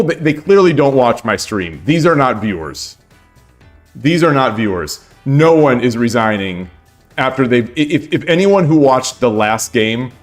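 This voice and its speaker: A man with a hoarse voice streaming shogi, talking casually and thinking aloud as he looks over his games, often repeating his phrases. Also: mid-range voice. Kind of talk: casual game commentary.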